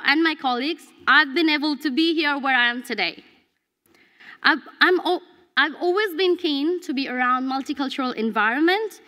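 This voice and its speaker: A woman's voice: high voice, sharp tone. A young woman giving an earnest prepared graduation speech at a lectern mic, speaking English as her second language with small restarts. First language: not English. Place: large convention hall, at a lectern. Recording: long hall reverb.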